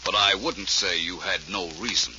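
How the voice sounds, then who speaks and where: An actor playing a shrewd, urbane detective in a 1940s radio drama, with polished, dryly courteous questioning. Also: male voice, sits low, moderate pace, close to an everyday voice. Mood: coolly probing. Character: shrewd, urbane male detective, coolly probing. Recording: noisy, muffled 1940s radio recording.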